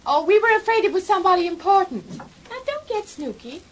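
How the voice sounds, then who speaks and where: A pert sixteen-year-old girl in a vintage radio serial. Her voice is extremely high and lively, with an American accent and an impatient edge. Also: a little fast, a little hiss or hum, rough recording. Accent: put-on American. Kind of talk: 1940s radio soap acting.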